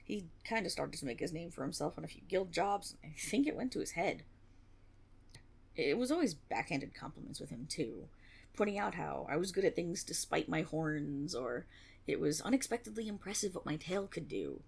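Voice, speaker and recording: A breathy, slightly husky young tiefling rogue acted by a woman in a fantasy ASMR roleplay. Her delivery swings widely in pitch as she rambles nervously, with stammers and a teasing streak, often dropping into a creaky edge. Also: animated, normal speed, darkish tone, heavily acted. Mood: rueful. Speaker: audio roleplay performer. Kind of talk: intimate one-sided fantasy audio roleplay, ASMR.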